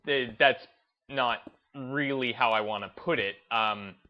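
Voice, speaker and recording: A man gives off-the-cuff commentary as a gaming video host, taking his time at an unhurried pace. He is reflective and halting, often circling back to reword a point. The sound is a little dull, with music playing behind him. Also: mid-range voice. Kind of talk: unscripted video commentary over gameplay.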